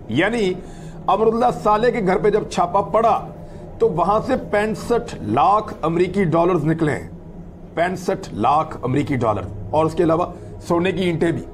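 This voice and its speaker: A man's voice: high voice, slight background noise. A man gives conversational news commentary as a host, speaking emphatically with repeated phrases and rhetorical questions.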